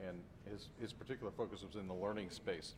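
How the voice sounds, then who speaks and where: A middle-aged man reports back on a breakout group, voice sitting a little low and stumbling through fillers and restarts. He holds a handheld mic in a large, very echoey conference room, with steady noise underneath. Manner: halting, many fillers and restarts. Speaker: conference session presenter. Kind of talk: impromptu summary talk. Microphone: handheld microphone.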